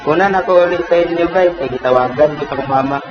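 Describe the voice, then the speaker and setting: A man's voice: a little high, quick pace, noisy background, lightly acted. A man playing an easygoing young guy with a Filipino accent in an amateur radio drama. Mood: casual. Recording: dull lo-fi audio under music.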